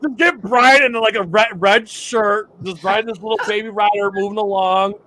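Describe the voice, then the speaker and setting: A man co-hosting a casual livestream podcast, talking excitedly and rambling with restarts. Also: sits high, moderate pace, a little hiss or hum. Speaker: podcast co-host.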